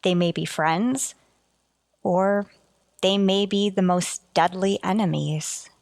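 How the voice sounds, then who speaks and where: A woman playing a dutiful, earnest starship officer in a radio sci-fi drama, deferential and deliberate. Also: medium pitch, gravelly, darkish tone, no room sound, studio quality. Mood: subdued, uncertain. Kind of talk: golden age radio sci-fi drama acting.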